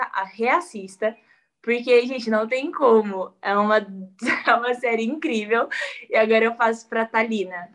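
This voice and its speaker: A woman's voice: a little high, fairly bright tone. A woman with a Brazilian accent chatting casually and enthusiastically as a cast member on a show. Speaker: actress on the show. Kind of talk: casual chat.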